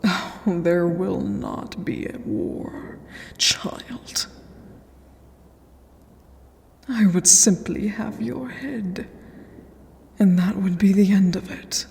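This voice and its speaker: A woman's voice: mid-range voice, lively intonation, slow pace, darkish tone, a little hiss or hum. A woman voicing an ancient vampire queen in a fantasy audio roleplay, regal and threatening, often dropping to a whisper. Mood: cold threat. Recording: long hall reverb, music underneath. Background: clear background music.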